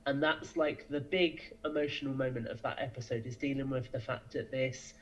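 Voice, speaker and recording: A man's voice: medium pitch, fairly even intonation, fairly quick, fairly bright tone, lo-fi sound. A man giving conversational interview answers as a podcast guest, explaining things with enthusiasm. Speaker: podcast guest, audio drama creator.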